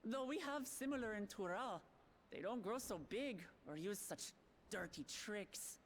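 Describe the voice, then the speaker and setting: A woman voicing an earnest, excitable young warrior princess in a video game, forthright and emphatic in her speech. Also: mid-range voice, a little slow, slight background noise. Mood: spirited. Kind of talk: video game cutscene acting.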